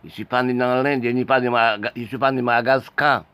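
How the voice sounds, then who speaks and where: Halting, impassioned man with restarts. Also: medium pitch, steady tone, fairly bright tone, clean tone, no echo. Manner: halting, repetitive, many restarts and broken phrases. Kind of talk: impassioned spoken monologue.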